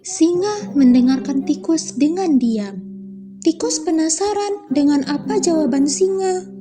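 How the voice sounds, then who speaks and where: A woman's voice: very high voice, lively intonation, unhurried, over music. Young woman telling a children's fable. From Indonesia. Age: young adult. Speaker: student storyteller. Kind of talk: scripted children's story narration.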